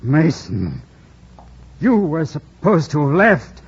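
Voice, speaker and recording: An actor playing a proud, bitter, commanding gentleman in anguish, imperious and theatrical in an old radio drama style. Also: on the high side, very animated, takes it slowly, husky, exaggerated voice. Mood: defiant. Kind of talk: 1940s-50s radio drama acting. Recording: dull, noisy old radio broadcast recording.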